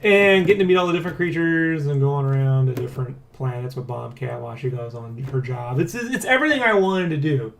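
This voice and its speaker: A man hosting a casual dev blog, talking in a rambling, excitable way and often checking for agreement. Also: mid-range voice, a little fast, creaky, few pauses. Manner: rambling, keeps seeking agreement with "right?" and "you know?". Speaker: dev blog host and comic artist. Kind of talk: casual dev vlog chat, addressing viewers and co-worker.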